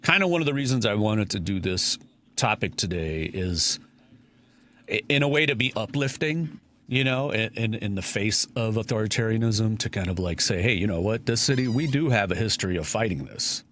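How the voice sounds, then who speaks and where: Low-voiced man hosting talk radio. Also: lively intonation, normal speed, fairly bright tone, a little hiss or hum. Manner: conversational, many fillers and restarts. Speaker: radio show host. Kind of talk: casual talk radio discussion.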